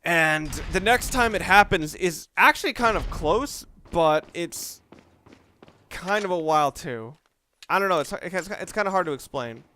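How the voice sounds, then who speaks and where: A man's voice: high voice, animated, a little slow, pushed and bright, a little hiss or hum. A man giving casual, rambling video game commentary, talking through his reasoning aloud as he plays. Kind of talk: casual let's play commentary.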